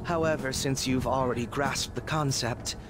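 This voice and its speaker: A man's voice: medium pitch, fairly even intonation, moderate pace, loud music behind the voice, lightly acted. A young man voicing a coolly polite, shrewd detective in a video game, articulate and analytical. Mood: cool, intrigued.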